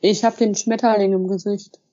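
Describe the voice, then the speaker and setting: Mellow young woman, muffled recording. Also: medium pitch, level tone, moderate pace, dry recording. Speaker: podcast participant, self-help group member. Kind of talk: conversational podcast talk.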